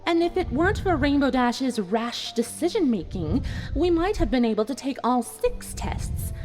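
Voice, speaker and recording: A woman voicing a smug, cruel female pony villain in a dramatised fan fiction reading, measured and mockingly apologetic. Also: sits high, darkish tone. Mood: cold, composed. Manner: measured, mock-apologetic, taunting.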